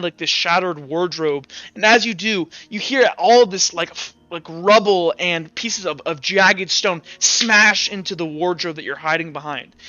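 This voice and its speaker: A man with a high, crisp voice works as game master, narrating in a casual, stop-and-start way with plenty of swearing. The sound is dry and slightly muffled. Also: pushed and bright, no echo. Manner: halting, casual, swears freely. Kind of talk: improvised tabletop game narration.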